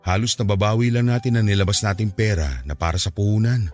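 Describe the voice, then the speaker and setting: A man's voice: on the low side, fast, natural voice. A man playing a hardworking farmer husband. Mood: apologetic. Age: middle-aged. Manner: earnest, warm, apologetic. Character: hardworking middle-aged farmer husband, strict but loving. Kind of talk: radio drama acting. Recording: music bed behind voice.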